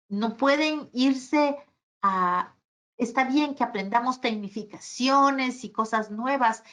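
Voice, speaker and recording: A woman with a Guatemalan Spanish accent answers questions as a guest speaker, reflective and halting, often seeking agreement at the end of phrases. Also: fairly high pitch, a bit bright. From Guatemala City, Guatemala. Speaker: guest speaker, archaeologist and gastronomy professional.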